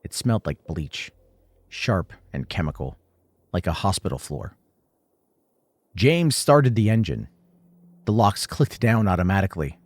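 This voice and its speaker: Low-pitched, expressive male horror narrator. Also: a little slow, pauses often, no echo. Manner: dramatic, suspenseful, short clipped phrases. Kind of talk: scripted first-person horror narration.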